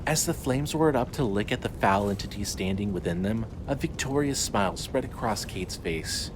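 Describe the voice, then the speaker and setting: A man's voice: on the low side, expressive, normal speed. A man narrating a scripted horror story as its storyteller. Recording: clearly noisy ambient background.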